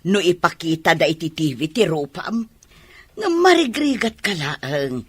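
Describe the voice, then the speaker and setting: A woman playing a simple, middle-aged, emotional and proud mother with a husky voice in an Ilocano radio drama. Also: on the low side, sing-song, measured pace, no room sound, heavily acted. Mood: proud, happy.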